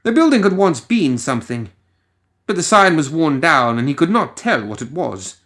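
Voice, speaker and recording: A man giving a dramatic, scripted story narration in a deliberate, theatrical manner. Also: fairly high pitch, sing-song, fairly bright tone. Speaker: audiobook story reader.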